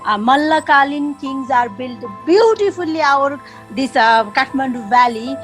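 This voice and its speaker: A woman from Nepal with a Nepali accent, a guest in a casual interview, speaking haltingly with many fillers as she searches for words. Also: high voice, lively intonation, a bit bright, faint music behind.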